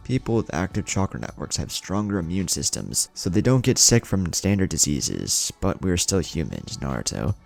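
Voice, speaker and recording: A man acts out a composed young ninja woman from an anime fan story. The voice is very low with a gravelly edge, and she explains matters calmly and patiently. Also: normal speed, few pauses, soft background music, exaggerated voice. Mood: soft, patient. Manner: calm, explanatory.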